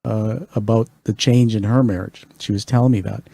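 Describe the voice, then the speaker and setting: A man from England hosts a relaxed interview in a mellow, warm voice. He speaks enthusiastically, often restarting his sentences as he gushes about the guest's book. The sound is dry and close to the mic. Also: fairly low pitch, a little fast, vocal fry, dry recording. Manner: enthusiastic, rambling with restarts and praise. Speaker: podcast host. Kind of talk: conversational interview.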